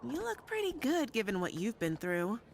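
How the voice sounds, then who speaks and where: A woman acting a breezy, teasing, loyal fighter in a sci-fi audio drama, speaking in a casual, slangy, expressive way. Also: on the high side, normal speed, gravelly, slight background noise, heavily acted. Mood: friendly. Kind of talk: naturalistic audio drama dialogue.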